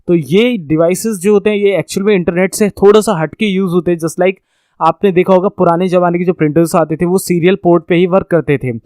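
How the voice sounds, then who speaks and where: A man's voice: high voice, steady tone, fairly quick, darkish tone, smooth, no echo, clean studio sound. A man giving an informal explanatory lecture as a course instructor, repeating and rephrasing points and checking that listeners follow.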